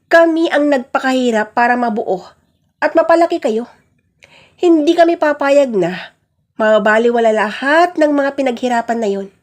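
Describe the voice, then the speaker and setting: Woman voicing a domineering father, high-pitched. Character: domineering, angry father, stern head of the family. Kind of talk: Filipino radio-style family drama.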